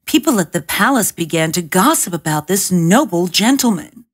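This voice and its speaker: A woman's voice: fairly low pitch, expressive, moderate pace, husky. A woman narrating a scripted fairy tale as an audiobook reader.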